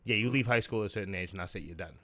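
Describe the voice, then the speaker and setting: A man's voice: low-pitched, very fast, sharp tone. A young man with a South Welsh accent, hosting a live stream and chatting in a rambling way, swearing and restarting often. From South Wales. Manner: rambling, swears a lot, many fillers and restarts. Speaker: stream host. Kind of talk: casual chat on a live stream.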